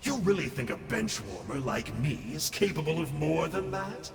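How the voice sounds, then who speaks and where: Smug, theatrical villain scholar, echoing. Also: male voice, on the high side, big pitch swings, moderate pace, creaky, slight background noise. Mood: smug, teasing. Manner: teasing, mock-dramatic drawl. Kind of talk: video game voice acting.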